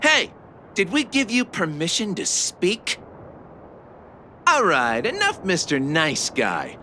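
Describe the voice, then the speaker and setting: Sharp-voiced bullying thug boss. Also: male voice, sits high, huge pitch swings, slow pace, slight background noise, full character voice. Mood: menacing. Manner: sneering, bossy. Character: menacing street-thug boss, bullying and cocky. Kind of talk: animated game voice acting.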